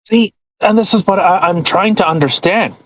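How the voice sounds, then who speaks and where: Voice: medium pitch, normal speed, no room sound, muffled recording. A podcast host reading aloud and commenting casually, blunt and argumentative, with plenty of swearing.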